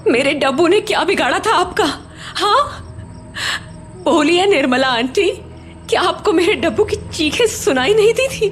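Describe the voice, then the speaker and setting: A woman's voice: very high voice, lively intonation, moderate pace, a bit bright, soft background music. A woman playing an anguished sister grieving her lost brother in an audio horror drama. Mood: tearful, angry. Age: adult. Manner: anguished, emotional.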